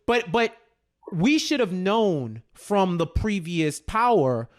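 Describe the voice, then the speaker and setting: Male recap co-host, somewhat high-pitched voice, conversational and emphatic, doubles back on phrases to land the point. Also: slow pace. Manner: animated retelling, repeats phrases for emphasis. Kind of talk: casual recap chat.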